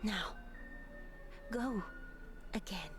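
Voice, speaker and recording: A woman voicing a stern, controlling religious woman with measured, sweetly menacing commands in a visual novel. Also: mid-range voice. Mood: coolly commanding. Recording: loud music under the voice.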